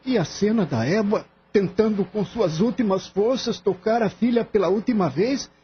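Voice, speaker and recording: Man as very high-voiced earnest young assistant. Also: normal speed, lightly acted. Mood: apologetic, moved. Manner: polite, deferential, heartfelt. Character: gentle, earnest young male farm-vet assistant. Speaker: voice actor. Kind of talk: radio drama acting. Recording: dull lo-fi audio, faint background noise.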